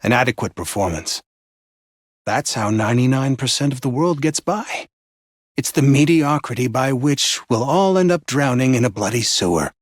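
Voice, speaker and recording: An actor playing an intense, manipulative mentor, theatrical and condescending, with a husky voice, in dramatic villain voicelines. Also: male voice, mid-range voice, animated, normal speed, no room sound, studio quality, natural voice. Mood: disappointed, condescending. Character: intense, demanding male mentor, manipulative and passive-aggressive.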